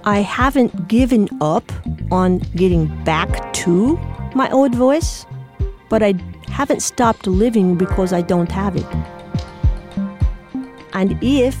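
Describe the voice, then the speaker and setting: Foreign-sounding woman telling her story over music. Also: medium pitch. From Indiana, USA. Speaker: storyteller, interviewee. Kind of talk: personal storytelling.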